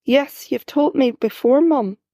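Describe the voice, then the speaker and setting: A woman voicing a quietly certain nine-year-old girl, with a gravelly edge. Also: high voice, unhurried, dry recording, pitched above the performer's own voice. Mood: earnest.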